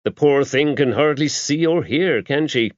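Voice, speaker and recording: A fastidious male investigator voiced by an audiobook narrator, wry and incredulous. He talks with exclamatory emphasis, as if baffled by his surroundings. The recording is dry and a little dull. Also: medium pitch, no room sound, voice pushed up, close to an everyday voice. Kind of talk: audiobook character dialogue.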